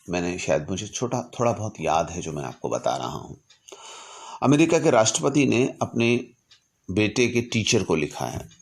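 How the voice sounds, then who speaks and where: A man telling a story reflectively in a didactic way, with measured delivery and deliberate pauses. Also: deep voice. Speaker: storyteller and speaker. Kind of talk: reflective storytelling, reciting a letter from memory with asides.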